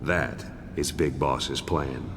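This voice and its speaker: An actor playing a calm, scheming middle-aged veteran soldier in a video game cutscene, speaking in a measured, portentous, fatalistic way. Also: male voice, rumbling low, creaky, exaggerated voice. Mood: grave, resolute. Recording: noisy, long reverberant hall sound.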